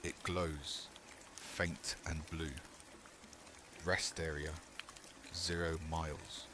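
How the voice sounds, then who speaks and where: Very deep-voiced man narrating horror, slowly. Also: level tone, background noise. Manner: slow, short measured phrases with pauses. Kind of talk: scripted second-person horror narration.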